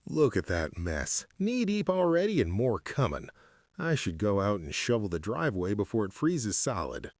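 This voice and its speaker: A man's voice: lowish voice, big pitch swings, normal speed, darkish tone, dry recording, clean studio sound. Man as grumpy old Earl, wildly swooping voice. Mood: grumbling, stubborn. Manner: grumbling, blustery. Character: grumpy, stubborn old husband, cigar-smoking curmudgeon. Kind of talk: comic radio skit dialogue.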